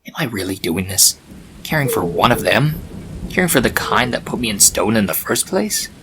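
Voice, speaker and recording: A man's voice: lowish voice, very animated, normal speed, pushed and bright, slight background noise, rough recording. A man voicing a grumpy, reluctant male chaos spirit trapped in stone, exasperated and grudging, in a fan story reading. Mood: grudging, muttering.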